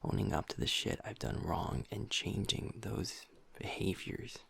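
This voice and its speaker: Brief: man playing a young werewolf beta, deep and very gravelly, breathy near-whisper, cursing, hard on himself. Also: sing-song, unhurried, heavily acted. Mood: frustrated. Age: young adult. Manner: soft, hushed, swearing, self-critical. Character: troubled young werewolf man, pack's second in command. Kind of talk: intimate audio roleplay.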